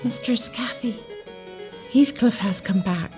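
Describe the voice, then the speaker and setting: An actress playing a composed, deferential housekeeper who narrates a period drama in a measured, plain, respectful manner. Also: female voice, on the high side, a little mellow, soft background music. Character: composed, deferential housekeeper telling the family's story. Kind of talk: scripted narration and radio drama acting.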